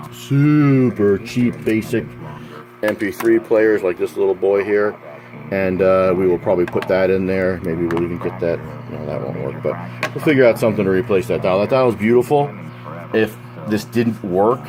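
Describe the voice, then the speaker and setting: An American man giving casual, unscripted narration of a hobby project, rambling conversationally with asides. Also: low voice. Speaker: hobbyist presenting a DIY project. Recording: background chatter and hum from playing radio. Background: old AM radio playing with a loud hum.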